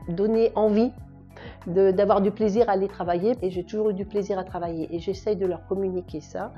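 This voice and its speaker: Woman around 70 talking in an interview, bit muffled, soft music under her. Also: medium pitch, fairly even intonation, fairly quick. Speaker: retired volunteer mentor. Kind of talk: interview testimonial. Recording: slightly dull sound, music behind.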